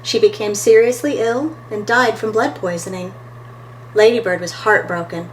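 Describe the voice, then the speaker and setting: A woman's voice: medium pitch, normal speed, slight background noise, low-quality recording. A woman reading a picture book aloud as a storytime host, expressively and talking to her listeners between pages.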